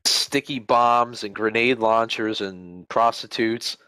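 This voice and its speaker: A man from Cleveland, Ohio, with an Ohio American accent, co-hosting a podcast in joking, animated casual chat. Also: lowish voice, takes it slowly, smooth, no echo, low-quality recording. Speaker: podcast co-host.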